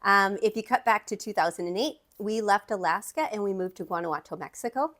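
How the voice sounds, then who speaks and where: A woman from the American Midwest speaks with a Wisconsin accent, sounding like someone in her thirties. She talks straight to camera as an immigration attorney, chatty and upbeat, restarting with "okay" and "um" as she goes. Her pitch sits in the middle range for a woman. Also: a little fast, fairly bright tone. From United States. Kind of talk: direct-to-camera talk.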